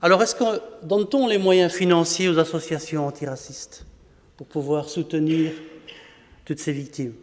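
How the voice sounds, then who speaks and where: A man's voice: on the high side, steady tone, moderate pace. Man moderating a formal conference. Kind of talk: formal introduction speech. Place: parliament conference hall. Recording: long hall reverb.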